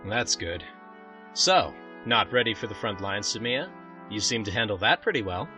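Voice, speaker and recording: Man acting a bright, earnest young prince. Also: on the low side, lively intonation, soft background music. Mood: kind. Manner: considerate, measured. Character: earnest, good-natured young prince and leader. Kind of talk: fantasy audio drama acting.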